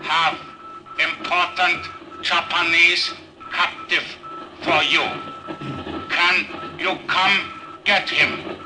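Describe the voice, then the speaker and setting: An actor playing a field agent sending an urgent message over radio in clipped, broken phrases, in a 1950s radio drama. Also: male voice, fairly high pitch, level tone, extremely slow, long pauses, background noise. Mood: halting, urgent. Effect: radio transmission.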